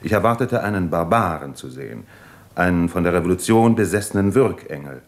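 A tormented doctor in love with a very deep male voice. He is earnest and reflective, choosing his words with measured care in the manner of 1950s radio drama. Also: lively intonation.

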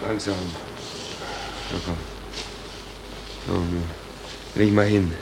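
A sober ship's stoker speaks in a fairly low, subdued and halting voice. It is a noisy 1940s radio play recording, careful in tone. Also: male voice, little pitch movement. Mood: hushed concern.

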